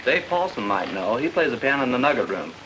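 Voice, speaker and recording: A man plays a deferential, gossipy hotel employee in vintage radio. He speaks quickly at a medium pitch on a noisy recording. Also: very animated, close to an everyday voice. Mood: obliging. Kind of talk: old-time radio drama acting.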